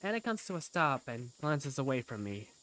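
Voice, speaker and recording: A woman's voice: very deep voice, sing-song, normal speed, fairly bright tone, low-quality recording. A woman reading scripted first-person story narration for a fan-dubbed game.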